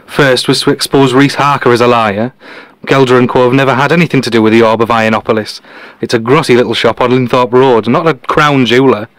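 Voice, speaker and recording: A man playing a cocky, teasing genius detective in an audio drama, deadpan and matter-of-fact. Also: medium pitch, quick pace, dry recording, natural voice. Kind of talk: podcast-style audio drama dialogue.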